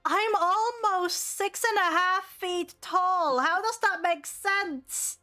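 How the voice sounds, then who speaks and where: A woman plays an indignant bandit archer in a tabletop roleplay. The voice is high and slow, with a huffy, put-upon manner. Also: bright, forceful tone, long reverb tail, faint music behind, exaggerated voice. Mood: offended.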